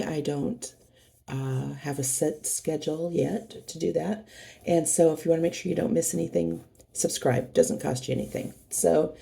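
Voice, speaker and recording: A middle-aged woman with a cold talks her way through a craft unboxing, her voice hoarse and heavily gravelly. She rambles in a friendly, meandering way, full of ums and restarts. Her tone sits low and mellow. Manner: rambling, chatty, many fillers and restarts. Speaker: craft channel host. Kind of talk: casual unboxing vlog.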